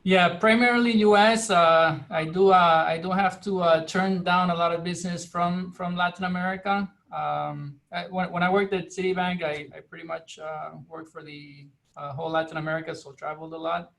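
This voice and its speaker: A man talks casually on a group video call. His voice sits fairly high and has a noticeable creak. He speaks tentatively, stopping and starting as he goes. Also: steady tone, fairly bright tone. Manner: tentative, halting. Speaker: consultant, meeting participant.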